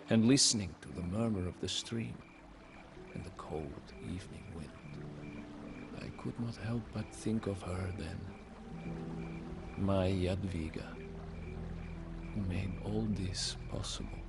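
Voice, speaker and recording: An actor playing a solemn, grieving widowed medieval king, narrating his story in a game cutscene with grave, measured pauses. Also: low-pitched, exaggerated voice. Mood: tender, reflective. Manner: grave, measured, pausing, often breathy at phrase ends. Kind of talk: dramatic first-person storybook game narration.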